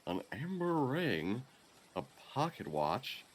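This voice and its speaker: A man plays a shady game merchant who fumbles through a flirty sales pitch. His voice bounces around a lot and hesitates, over slight background noise. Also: very animated, takes it slowly, rough recording. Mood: awkward, flirty. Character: shady, awkward, flirty male traveling merchant.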